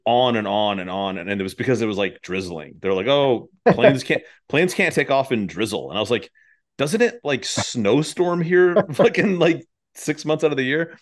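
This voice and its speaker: A man's voice: mid-range voice, lively intonation, moderate pace, slight background noise. A man hosting a podcast in casual chat, with an irreverent, sweary way of talking. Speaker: podcast host.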